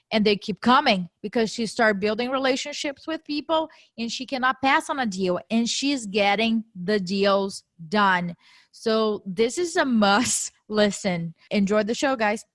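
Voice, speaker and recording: A woman's voice: fairly high pitch, a little slow, no room sound. A woman co-hosting a podcast, talking animatedly and laughing easily at her own grammar slips. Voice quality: non-native English accent. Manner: animated, laughs often, self-deprecating about grammar. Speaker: podcast co-host. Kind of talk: casual podcast chat.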